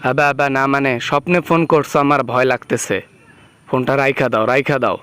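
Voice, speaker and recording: Guy acting scared guilty jailbird in a comedy phone skit, whiny n pleading, stammers, smooth clean voice. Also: medium pitch, brisk, a bit bright, dry recording, lightly acted. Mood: panicked pleading. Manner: stammering, pleading, comically exaggerated complaints. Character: frightened male prisoner, guilty, whining, woken by dream caller. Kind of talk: amateur comic phone-call skit.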